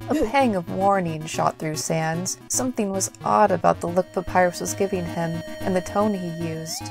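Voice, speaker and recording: A woman with a slightly low voice narrates a scripted story. She reads as the narrator of an audio drama, with music playing beneath her. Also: moderate pace, gravelly, darkish tone, low-quality recording.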